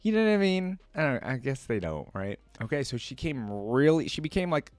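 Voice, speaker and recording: A man hosting a reaction video, casually reading stories aloud and commenting on them as he thinks out loud. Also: medium pitch, sing-song, a little fast, no room sound.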